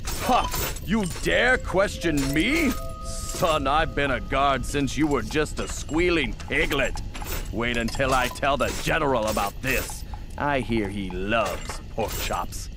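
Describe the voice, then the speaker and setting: A man voicing a blustering, pompous fake military commander in an animated video game, barking and drawing out his words. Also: medium pitch, slow. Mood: indignant, threatening. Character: blustering, bullying fake samurai commander, puffed-up officer. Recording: clear music bed behind.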